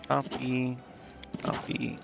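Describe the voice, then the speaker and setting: A man's voice: mid-range voice, monotone, slow pace, vocal fry, music in the background. A man streaming a video game, thinking aloud in a casual, offhand and indecisive way. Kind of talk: casual live gameplay commentary, thinking aloud.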